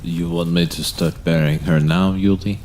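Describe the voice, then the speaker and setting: A man playing a weary, blunt gravedigger in an audio drama, grumbling and halting as he talks. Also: low-pitched, fairly even intonation, darkish tone, slight background noise, close to an everyday voice. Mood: grumbling, impatient.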